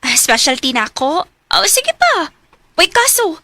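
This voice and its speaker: A woman's voice: very high voice, very animated, unhurried, sharp tone, no room sound, exaggerated voice. A woman playing a playful young daughter in a radio drama, speaking with a Cebuano accent. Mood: bright, shy. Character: playful young adult daughter smitten with older man. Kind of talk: Filipino radio drama acting.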